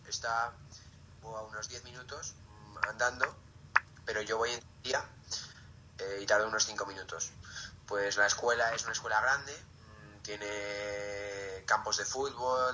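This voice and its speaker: Late-teen male, Spain Spanish accent, casual interviewee, very bright forward tone, slight background noise. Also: on the low side, low-quality recording. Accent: Peninsular Spanish. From Zaragoza, Spain. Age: late teens. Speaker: interviewee, student.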